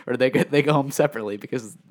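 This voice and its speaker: A man's voice: medium pitch, fast talker. A man co-hosting a casual wrestling podcast, rambling and restarting his sentences, with frequent chuckles. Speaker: podcast co-host.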